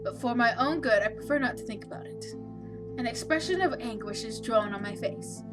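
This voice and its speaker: A woman reading out the part of an anxious, lost young protagonist in a horror visual novel, measured and subdued. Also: on the high side, over music, natural voice. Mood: uneasy, subdued. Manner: measured, subdued, stumbles over some words.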